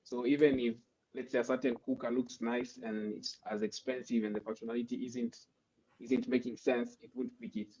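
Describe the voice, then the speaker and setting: A man speaking as a panelist over a video call. He answers thoughtfully in a halting way, with restarts and pauses. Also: medium pitch, animated, vocal fry, warm tone. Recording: muffled lo-fi video call audio.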